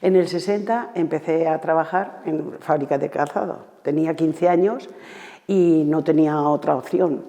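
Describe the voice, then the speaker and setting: A woman in her seventies recounts starting factory work in her teens, measured and plain. Her voice is gravelly and mellow. The sound is dull and reverberant. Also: low voice. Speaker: former shoe factory worker. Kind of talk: documentary interview testimony.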